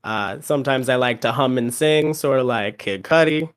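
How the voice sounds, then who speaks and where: A man guesting on a podcast, slightly sick with a cold, talks in a relaxed, easygoing way. He laughs often and pokes fun at himself. His pitch sits in the middle range for a man. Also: normal speed, clear, resonant tone. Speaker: rapper guest. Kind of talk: casual interview chat.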